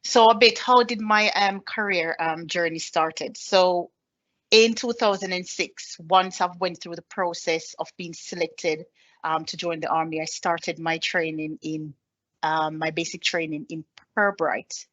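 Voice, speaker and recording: A woman's voice: on the low side, fairly even intonation, unhurried, fairly bright tone, highs cut off. A woman in her thirties with a Jamaican accent, giving a personal presentation as an army staff sergeant, speaking plainly with many ums. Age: 30s. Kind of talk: presentation with slides.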